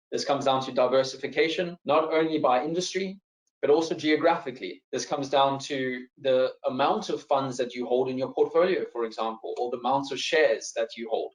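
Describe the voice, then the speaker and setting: A man with a South African accent hosts an online finance seminar and fields questions from viewers. He talks in a relaxed, friendly way, often pausing on fillers and repeating words. His voice sits at an ordinary pitch and carries a noticeable room echo. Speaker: financial adviser hosting a webinar. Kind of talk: presenting a live online seminar, answering audience questions.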